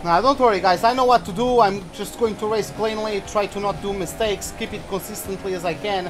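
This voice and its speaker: A man's voice: high voice, steady tone, brisk. A young man from Portugal giving loose, rambling commentary while he plays a racing game, now and then swearing when something surprises him. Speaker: gaming channel host. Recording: game music playing behind.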